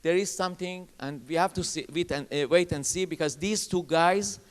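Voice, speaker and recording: Panelist, man, English, emphatic, somewhat high voice with a smooth, resonant tone. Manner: emphatic, repetitive, halting phrasing. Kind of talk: panel discussion. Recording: reverberant conference hall.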